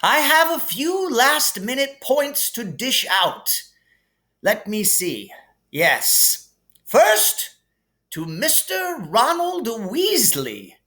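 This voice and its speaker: A man voicing an elderly, wise and kindly wizard headmaster with a stately, deliberate delivery, as in a storybook reading. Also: extremely high pitch, huge pitch swings, sharp tone, pitched above the performer's own voice, full character voice. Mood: measured, gentle.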